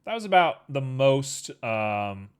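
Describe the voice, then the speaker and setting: A man's voice: mid-range voice, big pitch swings, takes it slowly, clear, resonant tone. Easygoing, rambling man with a sniffle. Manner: easygoing, rambling, filler-heavy. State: a little sniffly. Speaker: livestreamer. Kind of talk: casual chat while working on a 3D model.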